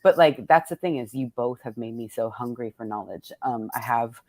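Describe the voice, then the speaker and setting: A woman's voice: extremely low pitch, moderate pace, darkish tone, no room sound. A woman speaking as a poet and host, casually and candidly introducing a poetry reading, salty-tongued and thoughtful as she searches for words.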